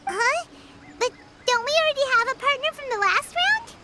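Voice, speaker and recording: A woman voicing a small, childlike, excitable fairy companion in a video game, squeaky and exclamatory. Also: moderate pace. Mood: chatty, exasperated. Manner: refers to self in third person, exclamatory. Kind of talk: English video game voice acting. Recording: game music behind the voice.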